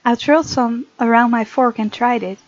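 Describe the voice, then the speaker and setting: A woman hosting a gaming video, reading visual novel text aloud and chatting casually in an expressive way. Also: on the high side, moderate pace, dull audio.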